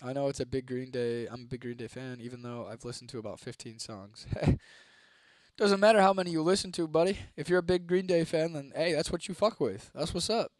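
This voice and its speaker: A young man from Ohio, chatting casually on a live stream, laid-back and swearing freely, his voice a little hoarse. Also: mid-range voice, a little fast, a little mellow, no echo. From Toledo, Ohio, United States. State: voice getting hoarse. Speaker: livestreamer. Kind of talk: casual live-stream chat reading comments.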